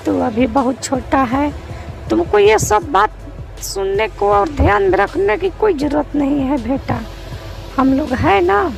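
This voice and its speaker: Cartoon casting: protective village mother played by a woman, high-pitched, very slow, soothing and reassuring. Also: animated, creaky, faint music behind, heavily acted, low-quality recording. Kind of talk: cartoon moral-story character acting.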